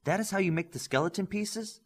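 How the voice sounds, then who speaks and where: A man's voice: sits high, brisk, husky, pitched above the performer's own voice. A man voicing a self-assured young female superhero who coaches and explains in an audiobook. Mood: curious.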